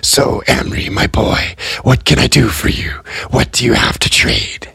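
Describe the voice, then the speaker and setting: A man's voice: medium pitch, moderate pace, vocal fry, bright, forceful tone, husky, no room sound, voice pushed up, heavily acted. A man voicing a sly, sarcastic male spirit trader who talks in a gleeful, mock-friendly way and sometimes drops to a whisper.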